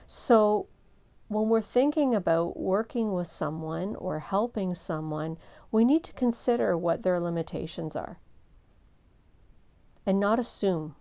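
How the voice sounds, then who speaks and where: A middle-aged woman pastor teaching a seminar in a soft, low voice, gentle and earnest, with measured pauses.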